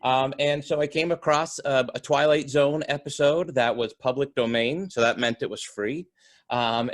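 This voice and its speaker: Regular mid-range guy, conversational, halting, chatting about theater stuff at a talkback. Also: medium pitch. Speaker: theater company founder and actor. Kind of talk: informal post-show talkback.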